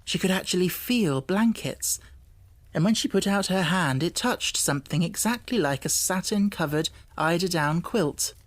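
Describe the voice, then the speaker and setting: A woman reading an audiobook as narrator, with deliberate phrasing and expressive, dramatic emphasis. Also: fairly low pitch, dry recording. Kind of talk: dramatic scripted storybook narration. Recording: music bed under the voice. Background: music.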